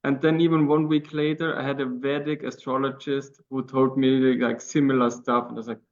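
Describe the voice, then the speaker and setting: Non-native English-speaking man, chatty, laughs a lot, mid pitch, muffled lo-fi audio. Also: level tone, a little fast. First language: not English. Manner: chatty, laughs often, searches for words. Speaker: guest co-host. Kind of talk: conversational interview. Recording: muffled lo-fi sound.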